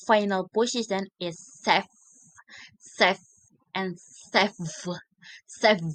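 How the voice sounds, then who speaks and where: A young woman with an Indonesian accent speaks extremely slowly in a slightly low register. She presents like a student reading prepared lesson notes, hesitating often and placing each word carefully. The sound is dry and close, with little room echo. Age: young adult. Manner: halting, careful word-by-word delivery with frequent hesitations. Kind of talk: scripted phonetics presentation.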